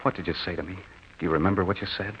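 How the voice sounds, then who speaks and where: Male actor for young returning soldier, radio drama: deepish, dramatic, eager and sincere; dull vintage sound with heavy hiss. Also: fairly even intonation, close to an everyday voice. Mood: tender. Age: young adult. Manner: theatrical, drawn-out, dramatic delivery. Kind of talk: old-time radio drama acting, narrated first person. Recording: noisy, band-limited old radio recording.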